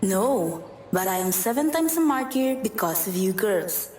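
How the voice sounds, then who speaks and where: A woman's voice: mid-range voice, sing-song, a little slow, a little hiss or hum. A woman playing a sardonic old servant woman who tells fortunes, in a radio drama. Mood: wry, teasing. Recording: roomy echo, loud music behind.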